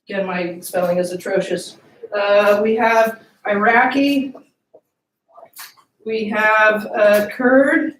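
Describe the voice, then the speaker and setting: Slow-talking woman lecturing in an echoey room. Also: medium pitch, a little hiss or hum. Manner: asks the class questions, checks in with "okay?" and "right?". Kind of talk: classroom lecture. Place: classroom.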